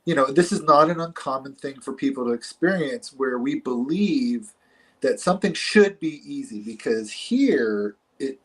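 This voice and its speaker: Husky man in his forties explaining. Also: mid-range voice, animated, normal speed, a bit bright. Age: 40s. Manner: explanatory, reflective, hesitant fillers. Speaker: counselor. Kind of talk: casual conversation.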